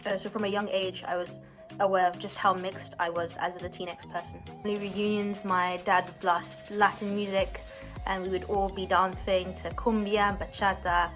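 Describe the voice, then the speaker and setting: A woman's voice: medium pitch, little pitch movement, normal speed, a bit bright, soft background music. A young woman, earnestly telling her personal story as a student, with some hesitant fillers. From London, England. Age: 20s. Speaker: university student. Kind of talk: personal story, read to camera.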